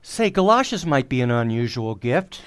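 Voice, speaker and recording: Mild-mannered radio sitcom husband played by a man, polite and hesitant, very lively intonation, close dry sound. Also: medium pitch, moderate pace, clear, resonant tone, no room sound, close to an everyday voice. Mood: patient, insistent. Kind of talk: old-time radio sitcom acting.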